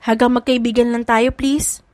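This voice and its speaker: Woman voicing an expressive, high-voiced caring young woman. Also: moderate pace, no room sound, close to an everyday voice. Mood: gentle. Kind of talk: audiobook drama.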